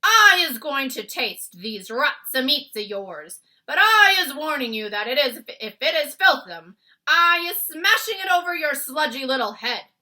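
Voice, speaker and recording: A hulking, greedy giant, put on by a woman reader, who booms out his broken grammar slowly and menacingly. His voice swoops up and down and rings out loud and bright. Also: big pitch swings, heavily acted, low-quality recording. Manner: booming slow ungrammatical growl. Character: huge greedy male giant, suspicious and menacing.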